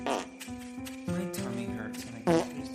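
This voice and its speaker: A man's voice: medium pitch, lively intonation, slow, creaky, music in the background. An actor playing a whiny, awkward, lovesick man in a comedic audio drama.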